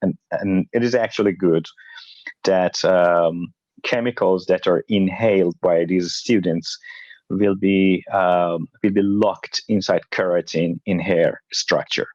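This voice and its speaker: Very deep-voiced hesitant man. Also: steady tone, slow pace, darkish tone, no room sound. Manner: careful, hesitant, many fillers. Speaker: researcher on a panel. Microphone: video call. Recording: dull lo-fi audio.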